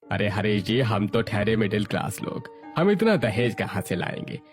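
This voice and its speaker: A man's voice: on the low side, sing-song, normal speed, over music. A man playing a humble, anxious middle-class father of a bride in an acted family story. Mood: pleading.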